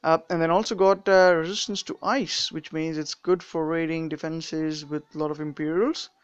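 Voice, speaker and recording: A young man streaming a mobile game, his accent a mix of British and Indian. He rambles through the menus with plenty of ums and restarts, in a smooth, clean voice. The sound is dry but a little dull, like a modest home mic. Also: on the high side, flat delivery, moderate pace, no room sound. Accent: mixed British and Indian. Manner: rambling, frequent fillers and restarts. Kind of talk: casual live-stream commentary.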